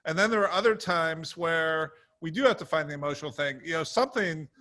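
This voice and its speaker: A man's voice: fairly high pitch, a little fast, bright, forceful tone. A man speaking as a television writer on a panel, recounting how stories came together in a casual, anecdotal way.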